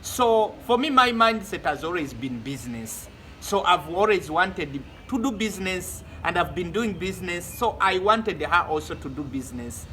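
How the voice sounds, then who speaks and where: A man's voice: high-pitched, animated, normal speed, bright, forceful tone, slight background noise. A Ugandan man co-hosting a casual couple chat, bantering easily and laughing often. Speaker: vlog co-host.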